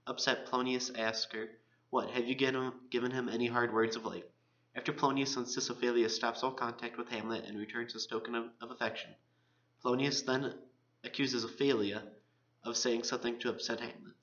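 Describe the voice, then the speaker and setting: A man's voice: medium pitch, little pitch movement, fairly quick, fairly bright tone, dull audio. A man, a college student presenting, reads aloud a scripted discussion of literature.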